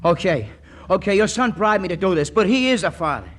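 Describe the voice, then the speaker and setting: An actor playing a nervous, hapless fellow, protesting and flustered, in 1940s radio comedy. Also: male voice, sits high, animated, brisk, fairly bright tone. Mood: sheepish. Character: nervous, hapless bribed stand-in father.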